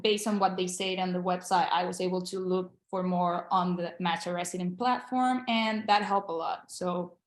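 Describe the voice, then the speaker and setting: A woman's voice: on the low side, fairly even intonation, normal speed, hardly any pauses, echoey room. A young woman from Venezuela, a Spanish speaker, giving a casual interview as a guest, speaking earnestly and encouragingly over a video call. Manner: earnest, encouraging, with frequent restarts. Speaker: guest, international medical graduate.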